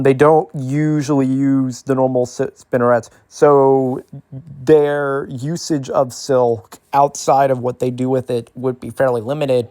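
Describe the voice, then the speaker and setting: A man's voice: mid-range voice, darkish tone, dry recording. A man hosting an informal educational ASMR explainer, talking in a hesitant, rambling way. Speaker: host of an educational bug ASMR series.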